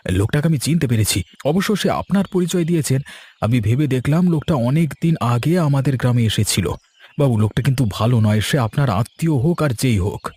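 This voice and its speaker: A man playing a suspicious but loyal village orchard keeper in a cartoon story, husky and earnest. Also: medium pitch, lively intonation, a little fast, a little mellow.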